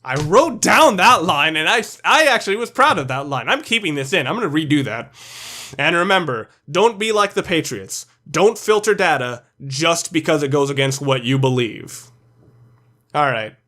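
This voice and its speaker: A man with a slightly high voice delivers opinionated video-review narration. He is the host, speaking informally and freely dropping curse words. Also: animated, normal speed, bright, forceful tone. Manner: informal, sweary.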